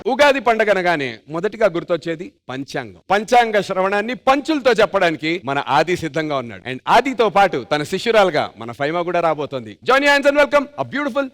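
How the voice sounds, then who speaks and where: A man's voice: high-pitched, sing-song, normal speed, few pauses, background noise. A man presenting a stage show on television as its host. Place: TV studio stage.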